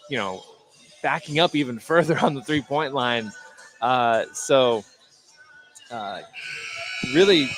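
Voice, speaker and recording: A man with a medium-pitched voice gives live basketball analysis. He talks conversationally, pausing and hesitating as he works out each point. Faint music sits behind him. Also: a bit bright, poor audio. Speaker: color commentator.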